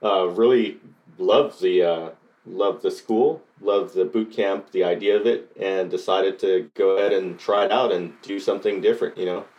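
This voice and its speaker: A man's voice: deep voice, fairly even intonation, moderate pace, dull audio. A middle-aged man from Costa Rica answering interview questions about changing careers, hesitant with many fillers and restarts. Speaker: coding bootcamp graduate.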